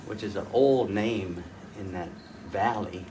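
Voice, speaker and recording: An older man, a folk fiddler, casually telling family stories to a small audience in a rambling, folksy way with many pauses and restarts. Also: lowish voice, warm tone. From rural northwest North Dakota. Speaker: folk fiddler giving a talk. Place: room at a folk fiddlers' gathering. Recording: distant mic, faint room noise.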